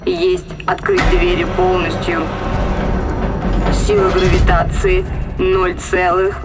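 A woman playing a spaceship's obedient computer in an audio drama, speaking in formal, measured, emotionless announcements. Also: fairly low pitch, flat delivery, loud music behind the voice. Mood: flat, obedient.

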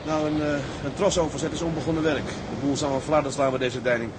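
A man's voice: on the high side, steady tone, a little fast, noisy background, lightly acted. A man playing a laconic, decisive tugboat captain in a radio drama. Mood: calm, resigned. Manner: laconic, understated, gives orders plainly.

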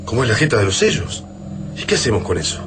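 An Argentine actor playing a bitter, defiant survivor in a radio drama, blunt and exasperated in colloquial speech. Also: male voice, on the low side, big pitch swings, fast. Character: bitter, defiant male survivor longing for family. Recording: noisy radio drama soundtrack with hum.

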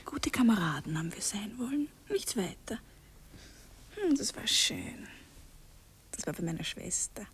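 An actress playing a coquettish, soothing young lady with an Austrian accent in a 1960s German radio drama, coaxing and gently teasing in murmurs. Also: medium pitch, big pitch swings, unhurried, pauses often, breathy edge, full character voice. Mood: reassuring.

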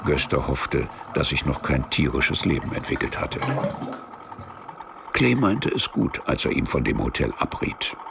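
A man narrating a scripted literary radio drama as a wry storyteller, measured and deadpan. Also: extremely low pitch, creaky, exaggerated voice. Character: dry, wry storyteller of a travel tale.

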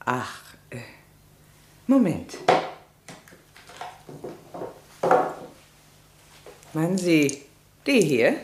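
A woman playing a poised, faintly mocking society lady in a German crime radio play, answering with arch, expressive composure. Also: sits low, slow, heavy background noise, heavily acted. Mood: coolly self-assured.

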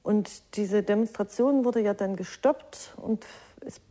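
A woman's voice: mid-range voice, takes it slowly, warm tone. A woman giving a personal eyewitness recollection for a documentary.

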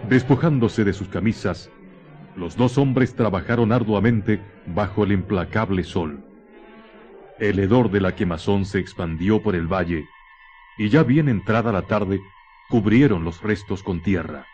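A man's voice: fairly low pitch, a little slow, a little mellow. A man giving solemn, literary narration for a radio drama. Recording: dull lo-fi 1990s radio recording. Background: music.

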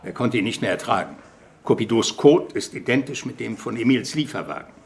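Gravelly, animated, meticulous police deputy. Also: male voice, mid-range voice, a little fast. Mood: dry, pedantic. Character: worried, meticulous male police commander, a loyal deputy. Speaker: radio drama actor. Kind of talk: German crime radio drama dialogue.

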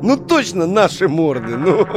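An actor in a modern audio drama playing a cynical, bossy relic digger, a slangy and commanding drinking buddy. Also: male voice, high-pitched, very animated, measured pace. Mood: wry surprise.

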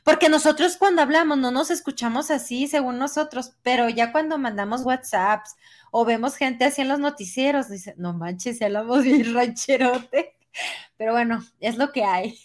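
Casting: woman with a somewhat high voice and a southern Mexican Spanish accent, laid-back podcast co-host who often restarts her phrases. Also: normal speed. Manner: relaxed, hesitant, restarts phrases. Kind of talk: casual chat.

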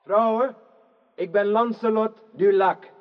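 Brave noble knight in a radio play, formal, high male voice, muffled sound. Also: steady tone, slow pace. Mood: resolute. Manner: formal, declamatory. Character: bold, chivalrous young champion knight. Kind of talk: theatrical radio drama acting.

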